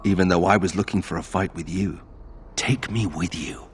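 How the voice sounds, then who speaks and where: An actor playing a brooding, earnest young nobleman in a video game, with a husky, intense voice. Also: deep voice, steady tone, normal speed, slight background noise, lightly acted. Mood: wary, intense.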